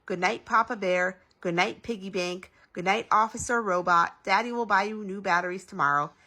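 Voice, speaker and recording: A woman voicing an excitable, playful, bossy little boy in a storybook, exclaiming and pleading. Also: medium pitch, moderate pace. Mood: cheerful.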